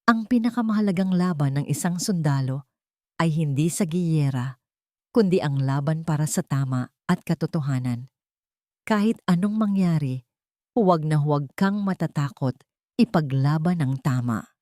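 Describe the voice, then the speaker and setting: A woman's voice: low voice, normal speed, warm tone, dry recording, clean studio sound, lightly acted. A woman voicing a principled soldier father solemnly advising his young daughter. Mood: tender, solemn.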